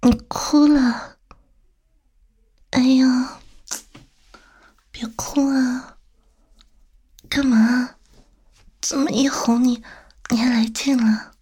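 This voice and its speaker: A woman's voice: high voice, flat delivery, mellow, exaggerated voice. A woman playing a teasing, coaxing female boss flirting with a younger employee in an intimate, whispered audio roleplay. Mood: tender soothing. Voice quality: breathy, whispery. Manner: slow, coaxing, tender, teasing.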